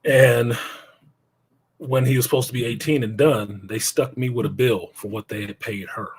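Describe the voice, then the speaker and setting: A man's voice: medium pitch, steady tone, moderate pace, slightly rough. A middle-aged man speaking as an interview guest, telling his own story in a blunt, plainspoken way and cursing now and then.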